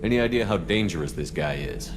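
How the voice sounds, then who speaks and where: An actor playing a military starship commander in a video game, speaking in a firm, direct, controlled manner. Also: male voice, deep voice, animated, fast talker. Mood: businesslike. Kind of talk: video game character acting. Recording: long reverb, clearly noisy backdrop.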